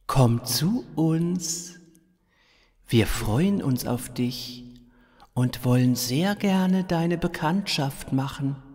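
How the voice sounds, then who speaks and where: A man voicing a calm, welcoming alien intelligence with a slow, drawn-out, beckoning delivery in an audio story. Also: fairly high pitch, long reverb tail, exaggerated voice.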